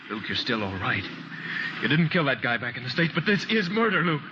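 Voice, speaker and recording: An actor playing a desperate, loyal friend pleading earnestly and urgently in an old-time radio drama. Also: male voice, medium pitch, big pitch swings, a little fast, close to an everyday voice. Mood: grim, urgent. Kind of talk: 1940s radio drama acting. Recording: muffled, noisy old radio recording.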